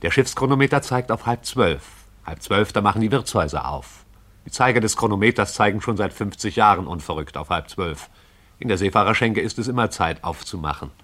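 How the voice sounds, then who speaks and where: A man narrating a literary radio play in a measured, descriptive storytelling way. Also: lowish voice, animated, a bit bright.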